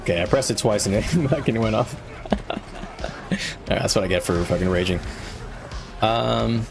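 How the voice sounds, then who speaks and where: Male game streamer, slightly low voice, irritable and profane, mulling things over aloud with faint music underneath. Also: normal speed, gravelly. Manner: frustrated, swearing, thinking aloud. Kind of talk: live gameplay commentary.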